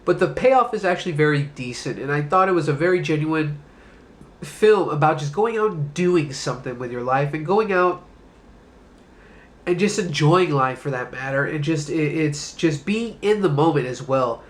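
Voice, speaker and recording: A man's voice: a little high, expressive, normal speed. Stuffed-up man with a cold, casual reviewer. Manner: loose, rambling, swears casually. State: congested, stuffed-up nose; coughing, sick. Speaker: movie review host. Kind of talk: casual solo video review.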